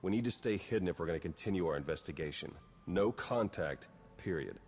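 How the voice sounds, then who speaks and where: An actor playing a wry, terse, roguish spy in a video game, dry and matter-of-fact. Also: male voice, low voice, expressive, fairly quick, a little mellow, slightly rough, faint music behind, full character voice. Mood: serious, guarded. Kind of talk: cinematic video game acting.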